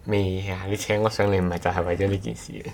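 Podcast co-host, man, low register, hesitant conversational delivery. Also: little pitch movement, fast talker, gravelly, darkish tone, a little hiss or hum. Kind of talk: casual podcast chat.

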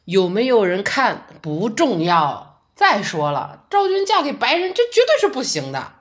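A woman playing a conservative male theater director in an audio drama, flustered, interrupting and quick to shoot ideas down. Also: medium pitch, big pitch swings, close to an everyday voice. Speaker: director character.

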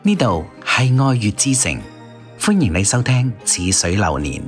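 A man's voice: fairly low pitch, wildly swooping pitch, moderate pace, faint music behind. A man reading a scripted programme ident as a station announcer.

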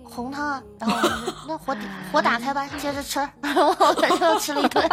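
High-voiced woman hosting a chat. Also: fairly quick, over music. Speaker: podcast host. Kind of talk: casual chat.

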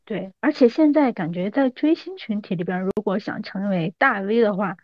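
A woman's voice: medium pitch, moderate pace, no echo, highs cut off. A woman in her thirties hosting a casual podcast chat in an agreeable, conversational way. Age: 30s. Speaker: podcast host.

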